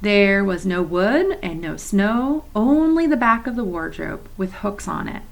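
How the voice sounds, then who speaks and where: A woman's voice: mid-range voice, big pitch swings, measured pace, vocal fry. A woman reading a children's novel aloud for a church, with chatty asides to her young listeners. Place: church office.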